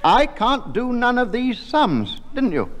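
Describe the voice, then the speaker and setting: An actor playing a pompous, strict and exasperated schoolmaster in 1960s radio comedy style. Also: male voice, extremely high pitch, big pitch swings, takes it slowly, fairly bright tone, heavily acted. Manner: pedantic, stern, exasperated. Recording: noisy old radio recording.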